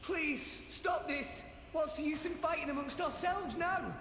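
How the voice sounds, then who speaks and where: A man's voice: very high-pitched, measured pace, a bit bright, a little hiss or hum, exaggerated voice. An actor playing an earnest, frightened teenage boy hero in a video game, stammering and pleading. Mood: distressed, pleading. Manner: stammering, pleading, breathless exclamations. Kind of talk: video game cutscene voice acting. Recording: echoey hall reverb with music behind.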